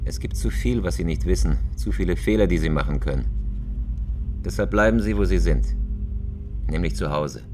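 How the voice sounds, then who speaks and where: Cold, low-voiced career criminal. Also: male voice, expressive, normal speed, pauses often, music in the background. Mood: cold, commanding. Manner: curt, commanding, unemotional. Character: terse, cold, controlled male professional criminal. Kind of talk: radio crime drama acting.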